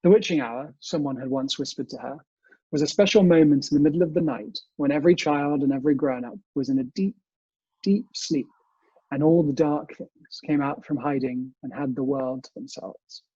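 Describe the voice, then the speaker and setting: A man, a guest reader who chats casually and reads storybooks aloud in a measured, expressive way over a video call. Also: a little high, husky. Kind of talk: storybook reading and casual chat. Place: indoors, remote online session. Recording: muffled, echoey video call audio.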